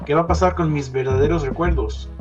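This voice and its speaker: A man playing an anxious, questioning boy in an audio fiction reading, with a husky voice. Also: a little high, fast talker, lo-fi sound, music in the background, natural voice.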